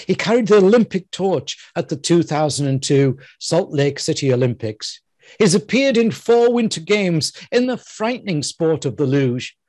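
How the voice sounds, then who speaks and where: An older man hosts with a lively, swooping delivery, throwing big emphasis on his words. His voice sits fairly high for a man and sounds close and dry, with no room around it. He speaks into a headset mic, as if introducing a guest in a studio. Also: moderate pace, dry recording. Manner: lively, playful, theatrical emphasis. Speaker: host. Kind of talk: interview intro and questions.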